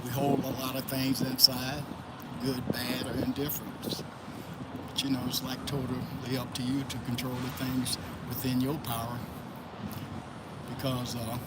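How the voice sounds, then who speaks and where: A man's voice: medium pitch, little pitch movement, normal speed. A man from Florida hosting a casual motivational livestream, talking loosely and greeting chat viewers by name. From Florida, USA. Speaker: livestream host. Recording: loud traffic noise outdoors. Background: loud traffic noise.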